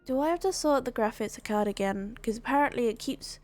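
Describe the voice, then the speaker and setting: A woman's voice: a little high, animated, a little fast, darkish tone, faint music behind. A woman livestreamer chatting casually in a hesitant, rambling way, often repeating and rephrasing herself. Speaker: livestreamer and gamer.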